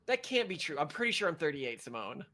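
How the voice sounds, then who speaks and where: A man's voice: a little high, very animated, quick pace, bright, forceful tone, a little hiss or hum. A man in his late thirties co-hosting a podcast, bantering in a mock-boastful way. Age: 30s. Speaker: podcast co-host. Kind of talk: casual chat.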